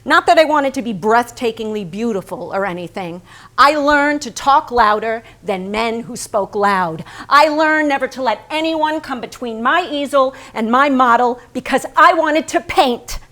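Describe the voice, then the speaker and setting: A woman's voice: fairly high pitch, expressive, moderate pace, bright, forceful tone. An actress playing an intense, blunt, strong-willed woman painter in a theatrical staged monologue, insistent and questioning. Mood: defiant, proud. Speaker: stage actor. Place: staged reading in a barn before an audience.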